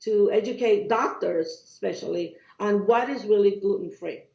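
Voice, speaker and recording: A woman with a Cuban accent, a guest doctor in a conversational interview, explaining things in an animated and emphatic way. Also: medium pitch, normal speed, vocal fry. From Cuba. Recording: dull lo-fi audio in an echoey room.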